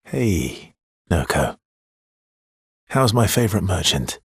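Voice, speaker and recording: An actor playing a wry, loyal, world-weary swordsman in a video game, with a husky, breathy voice and a dry, teasing manner. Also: sits low, sing-song, takes it slowly, no room sound, exaggerated voice. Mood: wry, casual.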